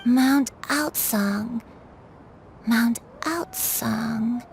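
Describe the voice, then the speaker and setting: A woman playing a frail elderly grandmother in a video game, gentle and troubled, speaking in a halting, wistful, tender way. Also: a little high, vocal fry, slight background noise. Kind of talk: dramatic video game dialogue. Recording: music under the voice.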